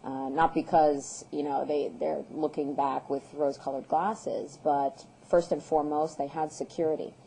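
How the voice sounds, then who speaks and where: Very low-voiced woman, earnest activist. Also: level tone, vocal fry, dark tone, slight background noise, poor audio. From United States. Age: 30s. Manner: earnest, measured, many filler ums and uhs. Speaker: guest, peace activist. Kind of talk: interview answers.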